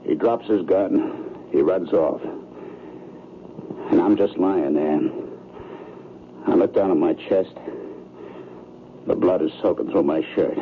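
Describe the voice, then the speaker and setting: An actor playing a brash, uneasy American builder in an old-time radio drama, plain-spoken, colloquial and insistent. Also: male voice, low voice. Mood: somber, fading. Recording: noisy, tinny old radio recording.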